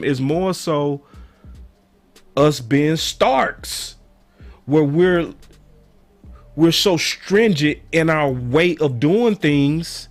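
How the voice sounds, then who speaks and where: A hoarse, raspy-voiced man hosting a sports call-in show, talking in an emphatic, slangy, animated way. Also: a little high. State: hoarse, voice going in and out. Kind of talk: passionate sports commentary to callers and viewers.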